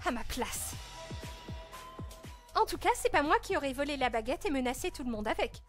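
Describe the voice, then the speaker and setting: A woman's voice: high-pitched, expressive, normal speed, faint music behind. A woman voicing a spoiled, jealous teenage princess with a snooty, dramatic manner in a fan-dub narration. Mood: indignant.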